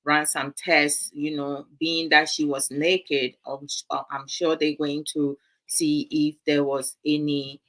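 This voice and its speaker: A woman's voice: deep voice, level tone, takes it slowly, a bit bright. A woman from Ghana, giving casual commentary to her live viewers in a musing, hesitant way with many fillers. Her is Twi. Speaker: vlog host.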